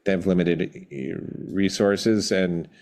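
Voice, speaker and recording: Middle-aged man, extremely low pitch, casual interview guest, musician, reflective, halting delivery. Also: a little mellow. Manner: hesitant, reflective, halting mid-word.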